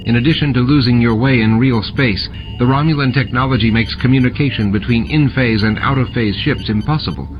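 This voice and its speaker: A man's voice: medium pitch, a little fast, hardly any pauses, muffled recording, noisy background, natural voice. A man voicing a female science officer in an audiobook, giving clipped technical reports. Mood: explaining. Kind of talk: audiobook character dialogue.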